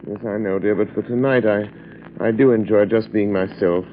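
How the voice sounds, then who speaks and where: An actor playing a suave, wealthy gentleman sleuth with an urbane, lightly wry manner in 1940s radio style. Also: fairly low pitch, fairly even intonation, normal speed, a little hiss or hum, close to an everyday voice. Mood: urbane, relaxed. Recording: dull old radio recording, music behind. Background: faint music.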